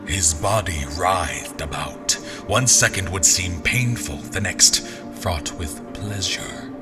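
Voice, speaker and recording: An actor playing a grandiose, messianic zealot commander who speaks and narrates solemnly in a dramatic audio fiction. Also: male voice, sits low. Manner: solemn, deliberate, messianic speechmaking. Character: grandiose zealot commander, a self-proclaimed visionary leader.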